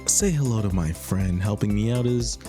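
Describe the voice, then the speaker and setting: A man's voice: fairly low pitch, expressive, moderate pace, over music, lightly acted. A man playing an upbeat, slick parody infomercial host, with theatrical sales patter and exaggerated emphasis. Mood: cheerful, showy. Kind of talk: comedic scripted infomercial roleplay.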